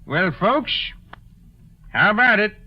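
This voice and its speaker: A man's voice: high voice, animated, slow. A man playing a folksy, plain-spoken American country sheriff in an old-time radio drama. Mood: grimly realizing. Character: folksy, slow-reasoning male country sheriff. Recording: muffled old radio broadcast.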